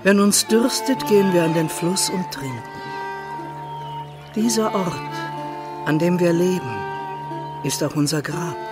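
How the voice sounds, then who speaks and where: An actress playing a wise old woman of a forest people in a radio drama, speaking in solemn, measured aphorisms. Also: fairly low pitch, lightly acted. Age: older. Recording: music clearly behind the voice.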